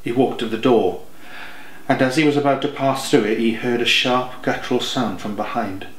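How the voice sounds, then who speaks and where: A man's voice: medium pitch, steady tone, normal speed, roomy sound, rough recording. A man narrating an audiobook in a scripted, dramatic storytelling style.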